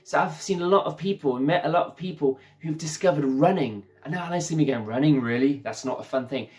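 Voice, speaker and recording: A man's voice: a little high, fairly quick, darkish tone. Enthusiastic chatty male preacher. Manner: enthusiastic, chatty, addresses listeners as guys. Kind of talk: casual conversational sermon.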